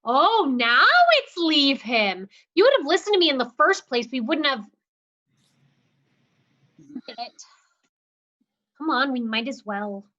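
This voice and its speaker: A woman voicing a determined young woman leading her friends in a livestream script reading, brisk, impatient and firm. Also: high voice, animated, bright, forceful tone, lo-fi sound, full character voice.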